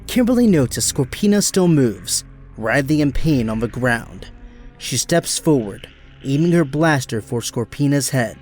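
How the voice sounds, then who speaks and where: A man's voice: mid-range voice, lively intonation, unhurried, a little mellow, faint music behind. A man giving dramatic scripted narration as the narrator of an action audio drama.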